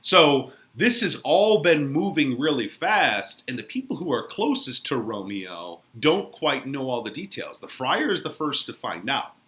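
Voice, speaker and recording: A man giving informal, colloquial commentary as a literature teacher, in an expressive conversational manner. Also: medium pitch, a little slow, few pauses.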